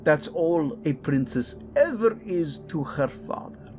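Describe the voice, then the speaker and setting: A man playing a cruel, scornful, power-hungry older royal father in an audio drama, with a gravelly, sneering, theatrical voice. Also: a little high, very animated, darkish tone, faint music behind. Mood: gloating contempt. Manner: slow, sneering, theatrical swooping delivery. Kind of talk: audio drama villain acting.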